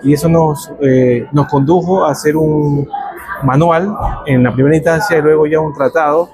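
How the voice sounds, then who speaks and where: A man from Ecuador, a researcher who explains his work in a measured way, with some fillers. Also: fairly high pitch, fairly even intonation, background noise. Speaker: researcher, guest. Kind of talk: explanatory interview answers. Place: café during a power cut. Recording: crowd chatter behind.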